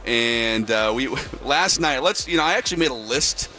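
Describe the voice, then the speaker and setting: Excitable man co-hosting sports radio. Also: medium pitch, normal speed, faint music behind. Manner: excitable, rambling with restarts. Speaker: radio co-host. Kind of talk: casual sports radio chat. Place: broadcast booth at a busy media row.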